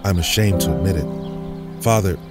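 A devout young American priest, acted by a man, speaks humbly and sincerely in a fairly low voice. His phrasing is halting and reverent, and loud music runs behind the audio drama. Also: natural voice. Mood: reflective, moved.

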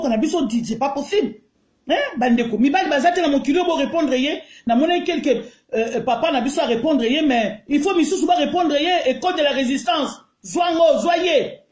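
A woman's voice: on the high side, a little mellow. Indignant Congolese woman ranting. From Congo (DRC). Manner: indignant, emphatic, mixes French and Lingala. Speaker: online commentator. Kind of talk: heated video rant. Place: small room indoors. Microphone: phone held close to the face. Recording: echoey room.

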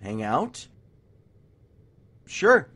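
A man's voice: mid-range voice, wildly swooping pitch, extremely slow, fairly bright tone, heavily acted. A young man acting an easygoing, joking college student in an audio drama, casual and teasing. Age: young adult.

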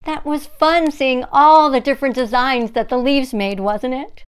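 A middle-aged woman hosting a story time for young children, speaking deliberately and directly to them. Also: high voice, steady tone, smooth. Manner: deliberate, addresses children with questions.

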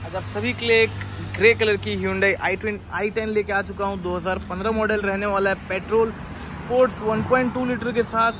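A man, a used car dealer filming a walkaround of a car for sale and briskly listing its features and price. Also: high-pitched, steady tone. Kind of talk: car walkaround and sales pitch. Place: outdoor car lot, then inside a car on the road. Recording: outdoor noise and traffic.

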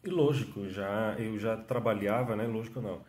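A mellow, warm-voiced man in his thirties with a Venezuelan Spanish accent chats casually to a phone held at arm's length. He talks in loose restarts and tag questions, and his voice rings around a small, echoey room at home. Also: fairly low pitch, moderate pace. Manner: chatty, restarts often, frequent tag questions. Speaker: freelance artist telling his story. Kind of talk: casual self-interview monologue. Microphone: phone camera at arm's length.

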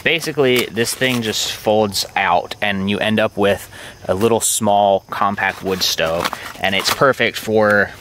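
A man's voice: on the low side, a little slow, slight background noise. Laid-back man showing his gear. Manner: relaxed and rambling, with many fillers. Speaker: motorcycle vlogger. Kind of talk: casual show-and-tell narration. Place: outdoors at a campsite.

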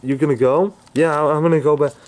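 A man's voice: on the high side, fairly even intonation, fast talker, natural voice. A man voicing a hesitant, remorseful young ex-boyfriend who mumbles and stumbles over his words. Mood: uneasy. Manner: hesitant, mumbling, many restarts.

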